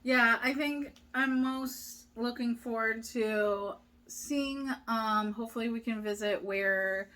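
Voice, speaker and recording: A woman's voice: on the high side, takes it slowly. Young woman reading viewer questions casually. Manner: relaxed, many fillers, reads questions aloud. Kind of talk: casual chat.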